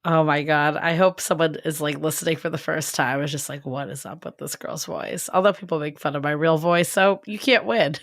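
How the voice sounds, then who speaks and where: Hoarse low-voiced woman podcaster. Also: steady tone, fairly quick, hardly any pauses. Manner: chatty, laughing, lots of fillers. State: hoarse, raspy voice. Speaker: podcast co-host. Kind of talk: casual chat and read ad.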